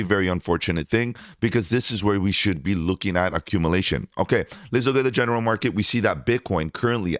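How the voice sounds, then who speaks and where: A man's voice: fairly bright tone, smooth, no echo, clean studio sound. A man who is losing his voice, hosting a crypto channel and giving upbeat market commentary to his viewers. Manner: upbeat salesy pitch, addresses viewers as guys. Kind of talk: market analysis commentary over screen charts.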